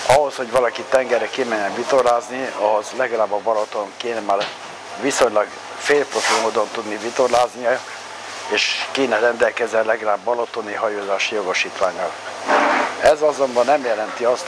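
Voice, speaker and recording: Older man, measured explanatory talk, living-room recording, noticeable background noise. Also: fairly low pitch. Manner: measured, explanatory, with pauses and fillers. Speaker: sailing blogger giving advice. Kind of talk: casual advice talk to camera. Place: living room at home, indoors.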